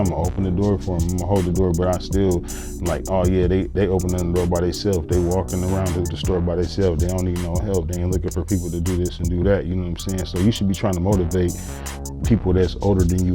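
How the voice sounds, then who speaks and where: Male host, low monotone, loose rambling pep talk, music underneath. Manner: rambling, repeats "you know what I'm saying". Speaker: show host reacting to a post. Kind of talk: motivational monologue.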